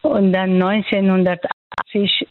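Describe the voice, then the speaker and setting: A woman's voice: medium pitch, little pitch movement, no room sound. Older woman, Afghan accent, muffled sound. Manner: halting, searching for words. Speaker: doctor, interviewee. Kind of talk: spontaneous interview answers.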